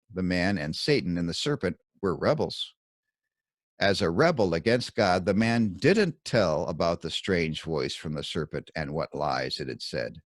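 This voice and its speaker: Male narrator for scripture commentary: lowish pitch, very animated intonation, deliberate emphatic delivery, dry recording. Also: dry recording. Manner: deliberate, emphatic, dramatic phrasing with pointed pauses. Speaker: author reading his own commentary.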